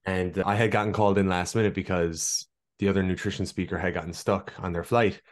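A man's voice: sits low, level tone, a little fast. A man with an Irish accent, a guest nutritionist telling stories in a friendly, easygoing way on a podcast. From Ireland. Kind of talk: casual podcast interview.

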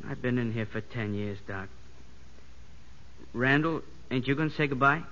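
An actor playing a forlorn, timid released convict in 1950s radio drama, plaintive and halting, on a muffled, noisy old broadcast. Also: male voice, mid-range voice, sharp tone, lightly acted. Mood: sorrowful.

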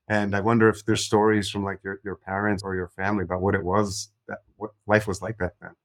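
A man's voice: lowish voice, level tone, fast talker, no echo. A man hosting a podcast, asking casual questions with many fillers. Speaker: podcast host. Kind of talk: interview questions.

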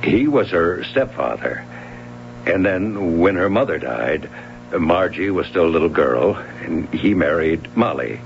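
A man acting in a 1970s American radio drama as a matter-of-fact, resigned swimming coach, measured and dry. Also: sits low, big pitch swings, creaky, exaggerated voice. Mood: reflective. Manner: measured, dry, plain-spoken. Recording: muffled radio recording with hum.

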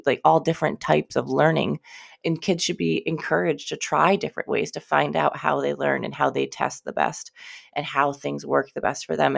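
Young American woman, stuffy and nasal from a cold, heavy vocal fry, loose rambling podcast host. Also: deep voice. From United States. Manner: rambling, chatty, swears casually, many fillers. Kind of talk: casual solo podcast monologue.

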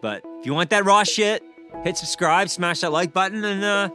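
Awkward young internet gamer, higher-pitched and sharp, hesitant bravado, amateur vlog delivery. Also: male voice, moderate pace, soft background music. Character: awkward, cocky young angry online gamer vlogger. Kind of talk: amateur vlog monologue, acted.

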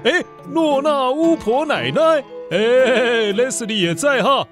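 A man's voice: extremely high pitch, animated, takes it slowly, fairly bright tone, faint music behind, exaggerated voice. A man voicing a polite, sheepish father in a children's audio story, chuckling and coaxing as he asks for a favor. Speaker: voice actor.

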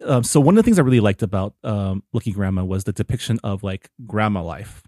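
Brief: lower-voiced man, relaxed movie podcast co-host, close dry mic. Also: quick pace, no echo. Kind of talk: casual film discussion.

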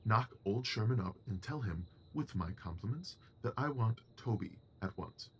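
A man playing a shrewd, commanding Victorian gentleman detective in an audio drama, precise, assured and dryly superior. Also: lowish voice, lively intonation, normal speed, highs cut off, a little hiss or hum. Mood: brisk, instructive.

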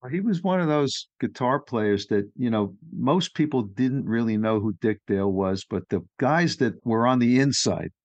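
A man's voice: mid-range voice, animated, moderate pace, few pauses, no room sound, muffled recording. A man from New York hosting a podcast interview, chatty and opinionated, asking leading questions. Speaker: podcast host.

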